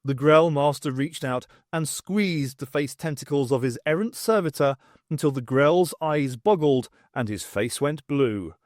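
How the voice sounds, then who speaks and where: Animated male audiobook narrator, higher-pitched. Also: a little slow, dry recording, studio quality. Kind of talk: expressive scripted story narration.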